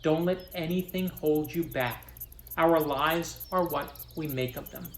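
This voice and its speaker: A man's voice: a little high, a little slow, reverberant. A man reading aloud as a determined young girl who is deaf and blind, in an earnest, uplifting way. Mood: encouraging.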